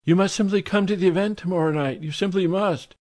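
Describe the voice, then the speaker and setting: A man's voice: high voice, quick pace, a little mellow, voice pushed up, natural voice. A man voicing a pushy, gushing society woman who does volunteer work, talking in a breathless, fawning, emphatic way.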